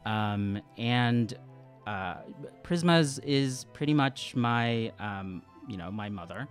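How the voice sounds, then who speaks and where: A man improvising his character's story as a player in a tabletop game, hesitant and halting as he thinks aloud. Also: medium pitch, animated, soft background music. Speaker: tabletop role-playing player.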